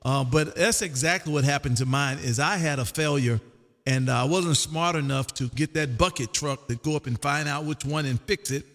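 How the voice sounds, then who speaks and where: A man's voice: on the high side, normal speed. Exuberant man in a reverberant radio room. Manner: loud, exuberant, folksy, repeats himself. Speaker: amateur radio operator hosting a live stream. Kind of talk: casual ham radio chat. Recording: roomy, long reverb.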